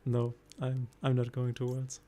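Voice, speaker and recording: A man's voice: medium pitch, completely flat delivery, a little slow, warm tone. A man from Germany with a German accent, casually commenting on his card game while streaming and thinking aloud with hesitations.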